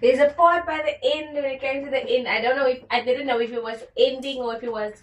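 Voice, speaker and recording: A young woman with a husky, hoarse voice, chatting unsurely as a singer in a bedroom studio. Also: high-pitched, fast. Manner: unsure, self-doubting. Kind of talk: casual chat. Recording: echoey room, far from mic, keyboard behind.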